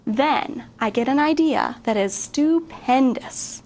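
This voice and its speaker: A middle-aged woman teacher reading a picture book aloud to children, expressive and asking young listeners questions. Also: sits high, slow pace. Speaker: guest reader, teacher.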